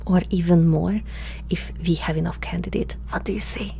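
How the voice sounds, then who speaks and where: A woman playing a curious, flirtatious alien experimenter in an intimate ASMR roleplay, speaking haltingly in a soft, breathy murmur that often slips into whispers. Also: on the low side, steady tone, slight background noise. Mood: coaxing. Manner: hesitant, halting, softly spoken, often slipping into whispers.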